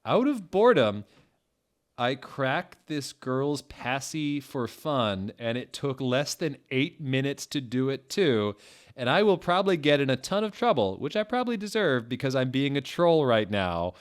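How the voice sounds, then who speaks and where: A man voicing a melodramatic gothic teenage girl, shrill and whiny. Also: very animated, a little slow. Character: melodramatic gothic teen girl narrator-author.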